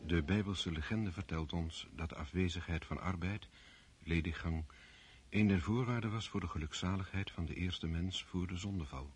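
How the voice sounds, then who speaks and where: A man narrating a radio play in a measured, formal storytelling manner. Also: low voice.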